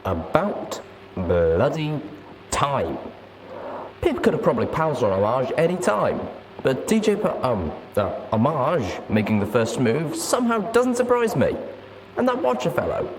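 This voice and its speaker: A man playing a gruff, chatty wasteland radio host with casual DJ patter. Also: medium pitch, wildly swooping pitch, unhurried, slight background noise, voice pushed up, poor audio. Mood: amused, casual.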